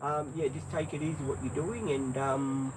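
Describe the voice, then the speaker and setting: An Australian man in his fifties talks casually on a live stream, rambling and hesitant, filling gaps with ums. He is outdoors, with crickets and insects chirping clearly behind him. His pitch sits in the ordinary middle. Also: fairly even intonation, moderate pace, noisy background. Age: 50s. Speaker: livestreamer.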